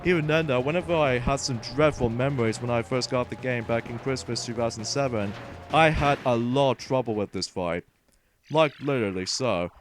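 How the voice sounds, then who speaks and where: A man giving casual, rambling, halting game commentary as a let's play host. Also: medium pitch. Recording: game music behind. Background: video game music.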